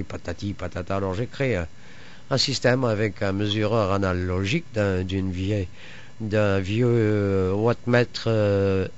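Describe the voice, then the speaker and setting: Male radio hobbyist, low register, rambling and halting casual explainer, muffled lo-fi sound with slight noise. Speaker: amateur radio operator. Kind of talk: casual radio contact chat about home-built antennas. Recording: dull lo-fi radio audio, faint noise.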